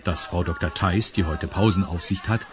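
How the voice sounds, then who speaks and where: A man with a husky voice reading scripted narration for a children's audio story. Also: deep voice, normal speed, a crowd in the background. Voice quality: husky, raspy. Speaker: narrator of a children's radio play.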